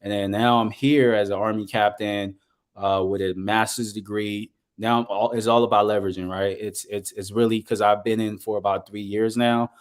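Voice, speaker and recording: A man from Liberia in his thirties talks through his story as an interview guest. His voice sits fairly low, and he speaks in an earnest, measured way with plenty of "um"s. He is heard over a video call. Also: level tone. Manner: earnest, measured, with frequent fillers. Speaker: guest, business coach. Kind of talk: casual interview talk.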